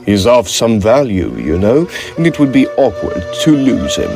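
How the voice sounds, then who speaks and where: Guy acting as shifty young victorian student, guarded but polite, up and down pitch, music clearly playing under him. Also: big pitch swings, moderate pace, a little mellow. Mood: evasive politeness. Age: young adult. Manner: guarded, excusing, formally polite. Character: secretive, evasive young Oxford student hiding something. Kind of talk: Victorian audio drama dialogue.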